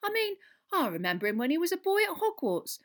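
A woman voicing a chatty, curious female pub landlady, gossipy and expressive, in a storybook. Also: extremely high pitch, a little fast, pitched above the performer's own voice, exaggerated voice.

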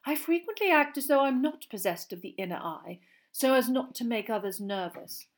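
A woman's voice: fairly high pitch, expressive, moderate pace, voice pushed up. A woman voicing a theatrical, airy fortune-teller witch teacher in an audiobook reading. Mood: misty. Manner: airy and grandiose. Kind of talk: audiobook character voice.

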